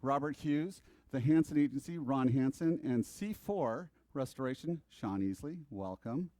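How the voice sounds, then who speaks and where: A man emceeing an office team meeting in a brisk, joking way. He has a middle-pitched voice, and the recording is dry and close with little room sound. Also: animated, mellow, no room sound. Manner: brisk, joking, reads sponsor names in a quick list. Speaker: meeting host.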